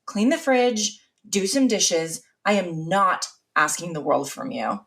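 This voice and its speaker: A woman's voice: lowish voice, big pitch swings, takes it slowly, gravelly, a bit bright, full character voice. A woman playing a weary, sarcastic, exasperated mother in an audio drama.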